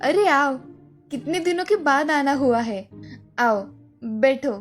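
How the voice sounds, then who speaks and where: A man's voice: very high voice, expressive, slow pace, faint music behind, voice pushed up, exaggerated voice. A man voicing a worried middle-aged mother who scolds and frets, for a cartoon. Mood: chatty. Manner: scolding, anxious.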